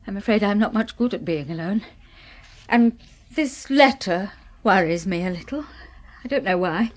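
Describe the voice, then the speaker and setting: Fragile British widow, played by an actress, mid-pitched. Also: female voice, lively intonation, normal speed, close to an everyday voice. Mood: polite, uneasy. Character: anxious, polite newly widowed woman. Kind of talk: 1970s radio drama acting.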